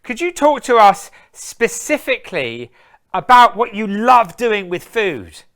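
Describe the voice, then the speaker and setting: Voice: medium pitch, big pitch swings, measured pace, a bit bright. A host running a live interview, full of praise and asking long, rambling questions.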